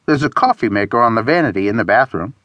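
A man's voice: mid-range voice, lively intonation, fast, a bit bright, no echo, highs cut off, lightly acted. A man voicing a grumpy, reluctant, sardonic traveler in audiobook dialogue. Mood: dry, sardonic. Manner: grumbling, sardonic.

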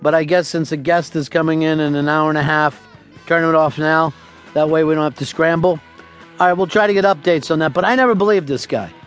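A man hosting a radio talk show, taking callers and telling absurd tall tales with a deadpan, profane delivery. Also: a little high, brisk, soft background music.

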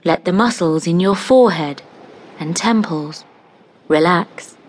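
A woman narrating a scripted guided meditation in a calm, soothing, unhurried manner, leaving long pauses between phrases. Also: on the low side.

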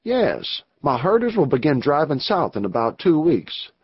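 A man's voice: fairly high pitch, very animated, normal speed, slightly rough, no room sound. A man voicing a greedy, scheming male rancher who talks eagerly and pushes his plan in an audiobook. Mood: urgent plotting. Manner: eager, pressing. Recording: dull lo-fi audio.

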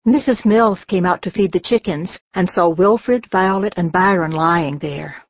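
A woman's voice: on the low side, unhurried, no echo, muffled recording. A woman narrating a scripted children's audiobook.

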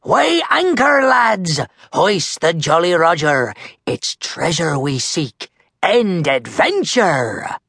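A woman's voice: low-pitched, expressive, slow pace, husky, dry recording, studio quality, exaggerated voice. A woman voicing a blustering, bossy boy pirate captain from a children's storybook, bellowing theatrically in a stage pirate accent. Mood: bossy, hearty.

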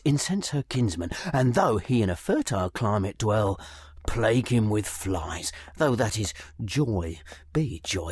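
An actor playing a sly male villain who confides his schemes in a low voice in a dramatised audiobook. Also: darkish tone, breathy edge, no echo, clean studio sound, full character voice. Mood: scheming.

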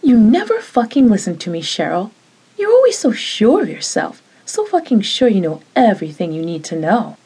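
A woman's voice: medium pitch, very animated, very slow, few pauses, breathy edge, exaggerated voice. A woman voicing a timid young female roommate who snaps into curt, cursing fury. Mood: furious.

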